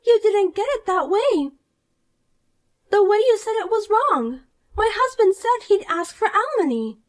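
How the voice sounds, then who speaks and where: A woman's voice: very high voice, very animated, measured pace, pitched above the performer's own voice, exaggerated voice. A woman voicing a shallow, selfish, jealous young woman in a dramatized story, accusing and self-pitying. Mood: whiny.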